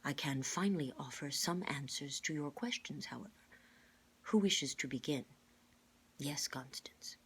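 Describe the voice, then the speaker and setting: A woman voicing a kindly, courteous gentleman in charge in a storybook, calm and deliberate. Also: sits low, husky, full character voice. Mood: calm, serious.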